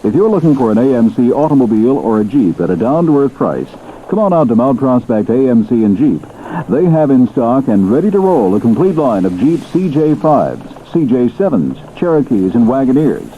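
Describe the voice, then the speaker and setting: Animated male radio ad announcer. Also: mid-range voice, moderate pace. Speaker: commercial announcer. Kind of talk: 1970s radio car advertisement. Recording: tinny, noisy 1970s radio broadcast.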